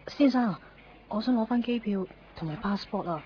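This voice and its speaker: A woman's voice: medium pitch, lively intonation, normal speed, breathy edge. An actress playing a distressed, wistful young woman with a Hong Kong accent in a radio drama. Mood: anxious, pleading. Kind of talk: radio drama acting and narration. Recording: dull lo-fi radio recording under music.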